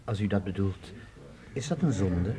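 An actor playing a sinister, courteous stranger in a radio drama, formal and sardonic in manner. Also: male voice, low voice, lively intonation, moderate pace, a crowd in the background. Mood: mocking. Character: sinister mocking stranger, male guitar owner, courteous but menacing.

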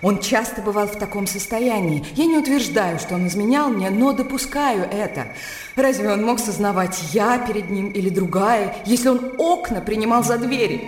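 A woman's voice: medium pitch, normal speed, darkish tone, close to an everyday voice. Casting: female actor for calculating society sister in a radio play, ingratiating, mid-range voice, roomy hall acoustic. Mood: plaintive. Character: calculating, genteel widowed sister, coyly self-justifying. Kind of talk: Russian classic radio drama acting. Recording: reverberant hall, faint music behind.

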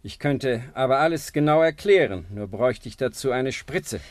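An actor playing a bitter, broken, drug-addicted forger, agitated and self-pitying, in a 1960s German radio crime drama. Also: male voice, mid-range voice, lively intonation, normal speed, no echo, lightly acted. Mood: jittery, wry.

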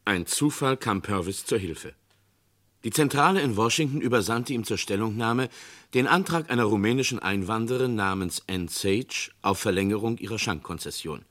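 A man narrating a radio crime drama in a measured, documentary way. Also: fairly low pitch, animated, fairly bright tone.

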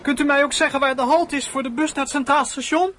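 A man's voice: very high-pitched, fairly even intonation, fast talker, a bit bright, slight background noise. A male performer in a radio drama playing a naive, frightened young boy who stammers and hesitates. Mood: uneasy, bluffing. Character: frightened young boy, naive petty accomplice, easily cowed. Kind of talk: Dutch radio drama acting.

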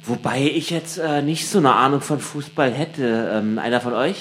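A man's voice: medium pitch, normal speed, a bit bright. A man in casual radio-play banter, speaking informally and tending to interject and argue. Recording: music behind the voice. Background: music.

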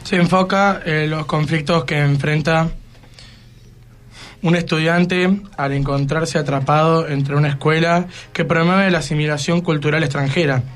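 Higher-voiced teenage male student. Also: fairly even intonation, unhurried. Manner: hesitant, searching for words. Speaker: student guest on school radio show. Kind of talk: reading a report and informal opinion. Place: radio studio. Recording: music behind the voice.

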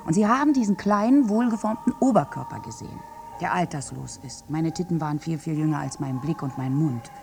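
A woman's voice: deep voice, lively intonation, a little fast, creaky, background noise. An actress playing a bitter, wounded village woman who survived a massacre, in a theatrical, morbidly wry radio drama monologue. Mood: haunted, defiant.

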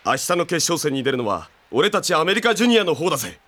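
A man's voice: fairly high pitch, big pitch swings, fast. An actor playing a cocky, aggressive teenage boy soccer captain in a cartoon, taunting and boastful. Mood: smug. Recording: loud music behind the voice.

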